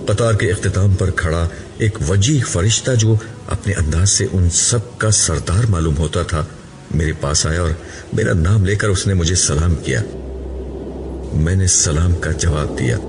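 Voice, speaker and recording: A man's voice: low-pitched, a little slow, darkish tone. A man reading a novel aloud as scripted audiobook narration. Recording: clearly noisy recording.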